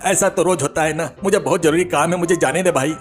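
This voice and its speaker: An exasperated village man, played by a male actor, speaks very fast in a fairly high voice. He is short-tempered and impatient, a grumbling reluctant listener in a story cartoon, with music behind. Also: natural voice. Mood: impatient, resigned.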